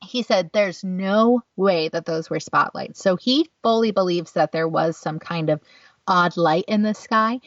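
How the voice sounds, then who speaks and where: A woman's voice: fairly low pitch, no room sound. A woman co-hosting a podcast, telling stories in a chatty casual way with lots of fillers. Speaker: podcast co-host. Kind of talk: casual chat. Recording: dull, distant, dry sound.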